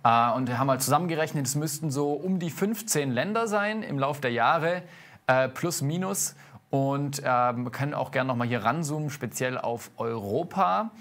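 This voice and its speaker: A man in his twenties, a guest dancer, chatting jokingly and quickly in a TV studio on a lapel mic. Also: mid-range voice, lively intonation, fairly bright tone. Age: 20s. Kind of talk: casual studio chat. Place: TV studio set.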